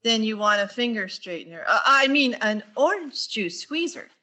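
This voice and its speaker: A woman's voice: on the high side, big pitch swings, normal speed, bright, forceful tone. A woman playing a wry, put-upon schoolteacher in a radio sitcom, with a dry, wisecracking delivery. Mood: dryly resigned. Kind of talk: radio sitcom acting and narration.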